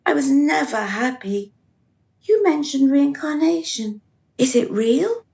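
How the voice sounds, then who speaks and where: A woman's voice: high-pitched, lively intonation, slow, vocal fry, darkish tone, exaggerated voice. A woman playing a dutiful, unhappy dead woman in a radio play, plaintive, earnest and eager to please. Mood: plaintive, self-righteous.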